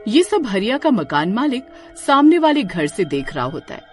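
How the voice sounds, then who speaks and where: A woman's voice: mid-range voice, big pitch swings, normal speed, soft background music. A woman narrating a scripted horror story as a storyteller.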